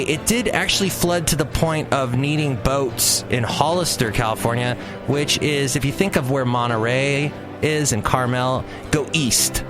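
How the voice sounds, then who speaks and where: A man hosting his own podcast in a rambling, jokey monologue with playful asides. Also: medium pitch. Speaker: podcast host. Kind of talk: casual solo podcast monologue.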